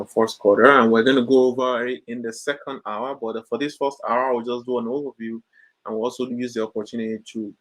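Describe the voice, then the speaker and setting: A man hosting an online career info session, talking in a relaxed, filler-heavy way. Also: medium pitch, low-quality recording. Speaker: career coach and session host.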